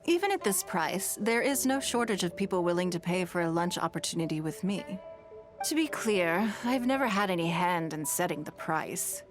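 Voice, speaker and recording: An actress playing an elegant, shrewd and wealthy businesswoman in a video game, speaking in a composed, measured, refined and gracious way. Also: on the low side, expressive, natural voice. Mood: poised, self-assured. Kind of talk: scripted video game character dialogue. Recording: music behind the voice.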